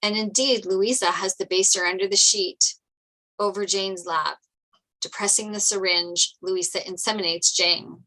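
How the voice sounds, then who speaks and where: Woman narrating stage directions, medium pitch. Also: fairly even intonation, a little slow, sharp tone, low-quality recording. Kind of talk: scripted narration.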